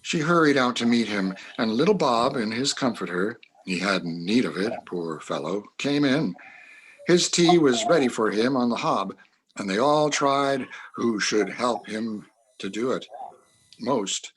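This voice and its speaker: A man's voice: mid-range voice, expressive, unhurried, low-quality recording. A man narrating a classic story as a community reader in a dramatic reading.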